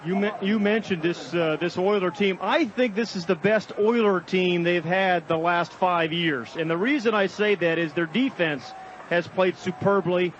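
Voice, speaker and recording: A man's voice: high-pitched, moderate pace. An American man giving color analysis on a live football radio broadcast. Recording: dull, noisy stadium radio broadcast. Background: stadium noise.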